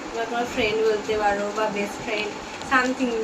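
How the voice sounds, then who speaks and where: A woman's voice: on the high side, fairly even intonation, fast talker. A young woman chatting casually as a vlogger making a prank phone call. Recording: distant mic, clear vehicle-like background noise.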